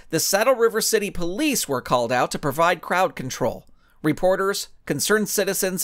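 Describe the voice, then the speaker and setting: A man reading his own children's story aloud, narrating with animated storytelling. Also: a little high. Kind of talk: audiobook narration.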